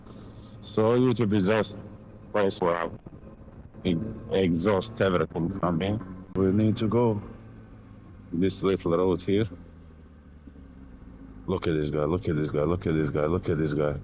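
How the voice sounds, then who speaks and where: A young Montenegrin man with a very low voice rambles through a casual motorcycle vlog in accented English, full of fillers and offhand swearing. He talks into a helmet mic while riding in city traffic, with faint wind and traffic noise underneath. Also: fairly even intonation, unhurried, pauses often, darkish tone, poor audio. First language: Serbian. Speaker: motovlogger.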